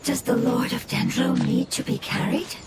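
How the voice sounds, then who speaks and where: An actor voicing an ancient nature being who cares for fungi and speaks in a calm, measured and wistful way, in video game dialogue. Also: medium pitch, very noisy, lightly acted. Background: loud game music.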